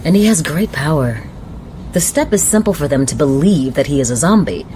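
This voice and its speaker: A composed, fearful woman on the run in a radio drama. Her voice is notably low for a woman, with grave, measured delivery. Noticeable train noise sits behind her. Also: lively intonation, warm tone.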